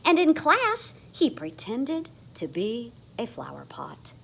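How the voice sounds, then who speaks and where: A woman's voice: a little high, huge pitch swings, slow, gravelly, fairly bright tone, husky. A woman reading a children's story aloud expressively, with dramatic emphasis on the sound words. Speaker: storybook reader. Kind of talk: animated children's read-aloud.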